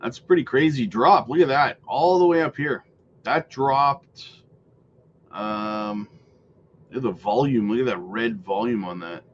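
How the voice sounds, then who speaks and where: A man's voice: mid-range voice, unhurried, lo-fi sound, a little hiss or hum. A man hosting a casual crypto livestream, rambling through viewer comments with many fillers while losing his voice.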